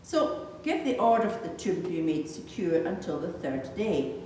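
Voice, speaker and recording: A woman reads the jeering priests and crowd of a scripture drama, accusing and scornful. The delivery is animated, in a lowish register. It rings through a very echoey church. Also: normal speed. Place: large echoey church interior. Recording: distant, echoey church, faint noise.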